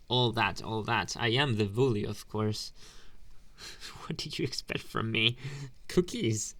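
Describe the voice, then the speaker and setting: Delinquent school bully played by a man, unhurried and teasing, mid-range male voice, soft-hearted underneath, intimate ASMR boyfriend roleplay. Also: medium pitch. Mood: teasing, suspicious. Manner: taunting, drawn-out, questioning hums.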